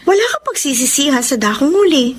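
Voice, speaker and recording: A woman's voice: high voice, expressive, fairly quick, a little hiss or hum. A woman playing a supportive, playfully firm best friend in a romantic radio drama, giving encouragement. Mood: earnest advising. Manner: encouraging, advising.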